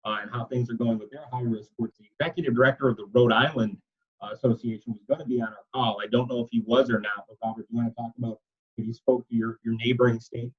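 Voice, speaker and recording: A man's voice: mid-range voice, steady tone, fast talker, slightly rough. Casual male moderator, dull muffled call audio. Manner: casual, chatty, loosely worded. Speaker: moderator relaying reporters' questions. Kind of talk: informal conference call moderating. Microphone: video conference call.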